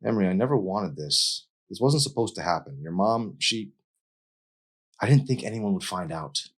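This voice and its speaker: A man's voice: fairly low pitch, lively intonation, normal speed, voice pushed up. A man voicing a guilt-ridden, earnest, defensive teenage boy in an audiobook. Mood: pleading, defensive.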